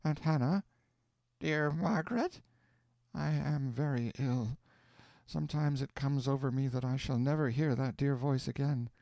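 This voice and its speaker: A man voicing a gravely ill mother who speaks tenderly and wistfully of her daughter. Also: mid-range voice, unhurried, mellow, no room sound, pitched above the performer's own voice.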